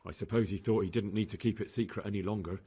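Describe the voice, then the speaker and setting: An earnest, low-voiced young man. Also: steady tone, fast, dark tone, slightly rough. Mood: earnest, grave. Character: earnest young man reporting to lords, escaped captive. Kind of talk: video game cutscene acting.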